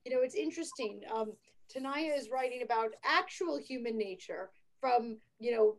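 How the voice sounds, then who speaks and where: Talk show host: an enthusiastic, high-pitched woman, chatty and full of fillers. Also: rough recording. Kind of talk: casual interview chat.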